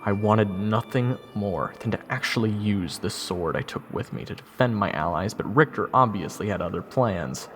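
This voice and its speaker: A man's voice: fairly low pitch, lively intonation, normal speed, few pauses, fairly bright tone. A man playing an eager but nervous young soldier who narrates his fantasy adventure with wry self-deprecation. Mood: wry narrating. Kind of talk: first-person fantasy audio drama narration. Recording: orchestral game music behind.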